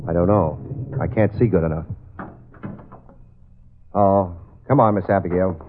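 An actor playing a brisk, matter-of-fact private investigator in a 1940s radio drama. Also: male voice, low voice, noisy background. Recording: tinny old radio broadcast.

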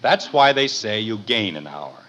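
A man's voice: fairly low pitch, sing-song, normal speed, pushed and bright. An American man playing a harried, dryly sarcastic middle-aged family father in a 1950s radio sitcom. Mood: dryly exasperated. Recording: muffled, noisy old radio recording.

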